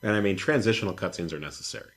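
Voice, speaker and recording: A man with a deep, low-pitched voice co-hosts a casual gaming podcast while feeling unwell. He rambles conversationally, teasing and swearing lightly as he goes. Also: lively intonation, very fast, fairly bright tone. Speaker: podcast co-host. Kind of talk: casual banter about video games.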